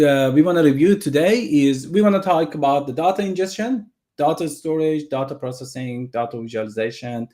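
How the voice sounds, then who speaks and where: A man's voice: medium pitch, darkish tone. A man from Iran with an Iranian accent, a native Persian speaker, eagerly giving a technical presentation over a video call and often restarting his phrases. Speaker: guest presenter.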